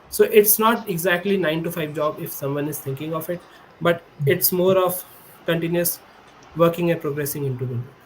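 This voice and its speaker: A man's voice: on the high side, a little hiss or hum, poor audio. A young man in his twenties whose first language is Hindi, speaking on a video call as a podcast guest and explaining things earnestly, often correcting himself mid-sentence. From India. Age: 20s. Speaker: guest, PhD student. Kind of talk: remote podcast interview. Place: indoor room with a high-backed chair.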